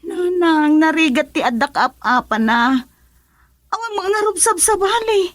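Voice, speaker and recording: A woman's voice: extremely high pitch, animated, measured pace, no room sound. A woman playing a reluctant young daughter in a radio drama, protesting plaintively with an Ilocano accent. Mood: pleading. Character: reluctant young daughter pressured to marry an old man.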